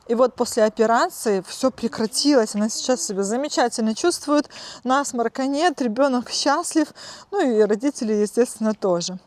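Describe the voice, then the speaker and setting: Woman, 30s, slightly high voice, casual step-by-step vlog chat, filler-heavy, walking with camera. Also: no echo. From Transnistria, Moldova. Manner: chatty, explaining step by step with many fillers. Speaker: vlogger. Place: outdoors and at home, walking.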